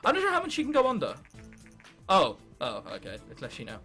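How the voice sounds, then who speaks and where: A young English man chats through a video game in a high, bright voice. His intonation swoops around as he mutters, mocks himself and swears in a loose, sarcastic running commentary. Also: huge pitch swings, quick pace, soft background music. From England. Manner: self-mocking, sarcastic, swears freely. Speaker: gaming video host. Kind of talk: casual gameplay commentary.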